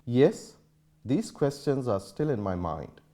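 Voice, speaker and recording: Dark, lively-pitched man reading aloud. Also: slow. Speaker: reader. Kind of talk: public reading of a historic letter.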